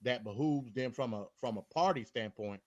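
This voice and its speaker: Blunt guy calling in on his phone, swears a lot, deeper voice, muffled lo-fi sound. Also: moderate pace, a little mellow. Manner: blunt, profane. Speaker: call-in guest. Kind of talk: casual political argument. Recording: dull lo-fi phone call.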